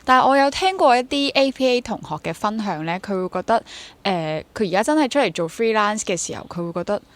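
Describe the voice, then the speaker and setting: A woman's voice: mid-range voice, expressive, fast talker. Young Hong Kong woman chatting in an interview. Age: 20s. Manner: mixes English words into Cantonese. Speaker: interview guest, freelance stage actor. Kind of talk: conversational interview, sharing personal experience.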